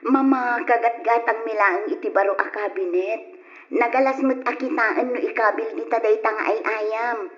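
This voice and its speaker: A woman voicing a lively, teasing teenage daughter in an old radio play. She sounds eager and full of questions, and the sound is dull and lo-fi. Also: on the high side, moderate pace, natural voice. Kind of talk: 1940s-style radio drama acting.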